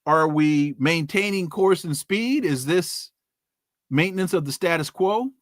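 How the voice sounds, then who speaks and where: A man's voice: a little high, animated, a little slow, no room sound, clean studio sound. A man hosting a podcast, giving emphatic commentary with rhetorical questions and folksy sayings before bringing on his guest. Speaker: podcast host. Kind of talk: news commentary and interview opening.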